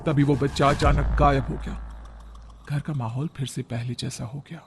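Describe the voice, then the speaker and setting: A man's voice: medium pitch, steady tone, normal speed, noisy background. Man narrating a story dramatically. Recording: background music under the voice.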